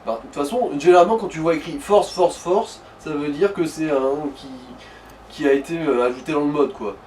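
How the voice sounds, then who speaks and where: A man's voice: a little high, brisk, a little mellow. Man chatting casually, distant from the mic. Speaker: advisor to the player in a let's play. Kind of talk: casual explanatory gaming chat. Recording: distant mic, faint background noise.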